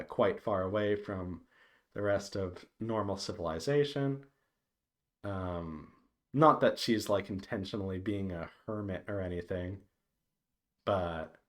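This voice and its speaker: A man giving a casual, unscripted update to viewers, thinking aloud in a hesitant, meandering way with halting pauses. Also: low voice. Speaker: visual novel developer giving a devlog update.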